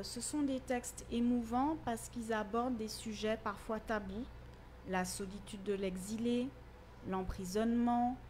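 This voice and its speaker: A woman teacher giving measured, articulate explanations in a radio interview. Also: medium pitch, slight background noise. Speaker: university teacher.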